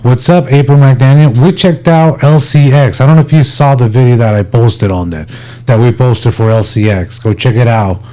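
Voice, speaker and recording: A man's voice: mid-range voice, moderate pace, mellow. A man hosting a livestream, chatting casually and informally with his viewers as if they were buddies. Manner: informal, laid-back, addresses viewers as buddies. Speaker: livestream host.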